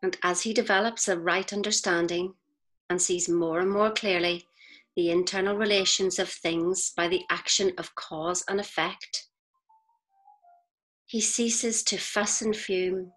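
A woman reads scripted inspirational narration in a measured, deliberate way, with pauses between phrases. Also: medium pitch, fairly bright tone, low-quality recording. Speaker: audiobook reader. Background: faint music.

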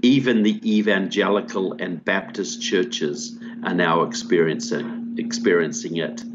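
An older man with a New Zealand accent, explaining at length as a guest on a video call from a room at home. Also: lowish voice. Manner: steady explanatory monologue. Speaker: guest, author and filmmaker. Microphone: video call with earbud mic. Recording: dull lo-fi call audio.

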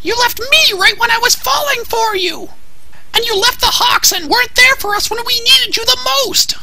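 A woman plays an impulsive young female commander in a cartoon parody. Her voice is very high and her delivery emphatic and hot-tempered, over clear noise. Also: moderate pace, pushed and bright, heavily acted. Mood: furious. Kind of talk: anime parody dub. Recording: clearly noisy track.

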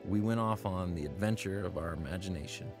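An earnest young man narrates his story in a scripted radio drama. His voice sits on the low side and sounds reflective. Music plays clearly under his words. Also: brisk. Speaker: narrator and lead character. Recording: studio drama over music. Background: music bed.

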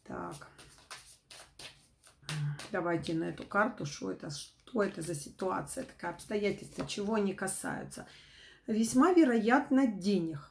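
A middle-aged woman with a not fully recovered voice, giving an informal tarot forecast to camera in a halting, rephrasing way. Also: medium pitch, vocal fry, darkish tone. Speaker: tarot reader, host. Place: small room at home, indoors.

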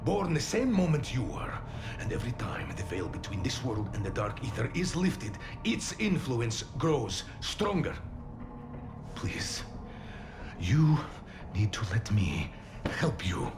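A man playing a middle-aged father in a video game, grave and halting, guarded yet protective of his daughter. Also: medium pitch, wildly swooping pitch, full character voice. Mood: urgent, pleading. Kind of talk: dramatic video game cutscene acting. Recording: music or noise behind.

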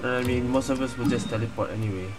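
A man's voice: mid-range voice, fast. A man giving casual playthrough commentary as a gaming streamer, musing aloud. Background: game music.

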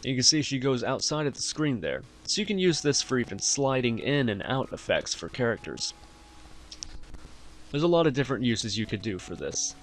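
A man hosting a software tutorial, explaining each step in an instructional way. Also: mid-range voice, sing-song, moderate pace. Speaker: tutorial host. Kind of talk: software tutorial narration over screen recording.

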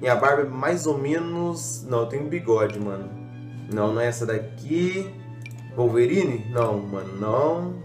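A young man talks through a game in a loose, slangy, rambling way, poking fun at himself as he goes. His voice is a little stuffy from a cold. The room around him is echoey, with faint music playing underneath. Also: medium pitch. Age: young adult. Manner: slangy, rambling, self-mocking. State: slightly congested from a cold. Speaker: gaming youtuber. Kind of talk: casual gameplay commentary.

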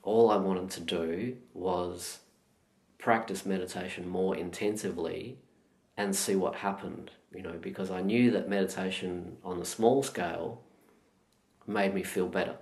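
A middle-aged Australian man with a low voice speaks unhurriedly and thoughtfully, as a guest telling his story. The sound carries an echo from the room around him. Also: steady tone, a little mellow. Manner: slow, measured and thoughtful. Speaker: meditation teacher, guest. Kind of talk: reflective interview answers. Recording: echoey room.

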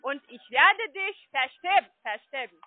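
A woman calling as a phone scammer, talking in a blunt, halting way on a phone line. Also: high-pitched, animated, a loud crowd. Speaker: phone scam caller. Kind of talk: phone call.

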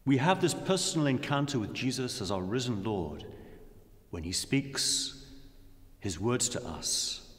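Slow-speaking man in an echoing hall. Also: medium pitch, animated. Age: middle-aged. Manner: solemn, measured, with long pauses between phrases. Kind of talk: reflective sermon and prayer. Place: large dim indoor room. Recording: long echoing reverb of a hall.

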